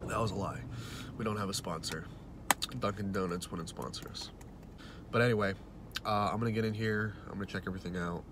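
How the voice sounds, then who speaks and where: A man's voice: sits low, fairly quick, pauses often, noisy background. A young man with a cold talks casually to a phone camera in a parked car, offhand and joking about his film shoot. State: stuffy nose from a cold. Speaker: film director. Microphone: phone held at arm's length.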